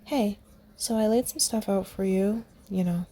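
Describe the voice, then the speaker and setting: A woman voicing a kind, gentle young man in a mask who talks in a soft, reassuring way, in a storybook reading. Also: medium pitch, measured pace, natural voice.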